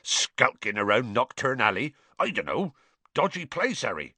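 A man voicing a kindly, protective giant gamekeeper, gruff and growling, with a rustic, colloquial way of talking, in a storybook reading. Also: mid-range voice, wildly swooping pitch, moderate pace, sharp tone, no room sound, pitched above the performer's own voice, exaggerated voice. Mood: gruff, grumbling. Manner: rustic, dropped words and colloquial phrasing.

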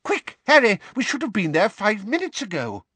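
A man's voice: a little high, very animated, unhurried, a bit bright, no echo, voice pushed up, full character voice. A man voicing an anxious middle-aged male wizard official who talks urgently and breathlessly, raising his voice in a hurry.

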